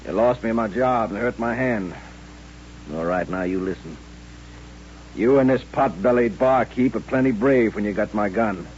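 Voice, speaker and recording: An actor playing a drunken, aggrieved cowboy spoiling for a gunfight, blustering and threatening in old-time radio western style. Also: male voice, fairly low pitch, normal speed, a little mellow, lightly acted. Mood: bitter, threatening. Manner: blustering, threatening frontier talk. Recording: dull, noisy, humming old radio recording.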